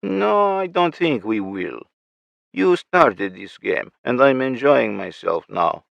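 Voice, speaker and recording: A man voicing a gloating male villain with a Russian accent, taunting and mocking in a slow, drawn-out way. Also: mid-range voice, big pitch swings, gravelly, fairly bright tone, no room sound, voice pushed up, exaggerated voice. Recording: muffled lo-fi recording.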